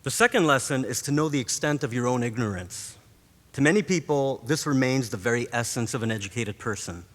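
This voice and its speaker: A man's voice: medium pitch, lively intonation. Man giving a speech in an echoing hall. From Montreal, Canada. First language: Greek. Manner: measured, rhetorical delivery. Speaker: professor giving a graduation speech. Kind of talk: formal commencement address. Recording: long reverb of a large hall.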